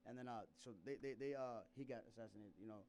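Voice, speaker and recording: A man's voice: mid-range voice, fast, a little mellow, slight background noise. A man from South Sudan telling a rambling personal story in casual chat, laughing often and restarting his sentences.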